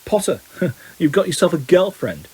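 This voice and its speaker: A man's voice: on the high side, expressive, quick pace, a little mellow, slightly rough, poor audio. A man voicing a sneering, spiteful schoolboy bully in an audiobook, with a taunting drawl.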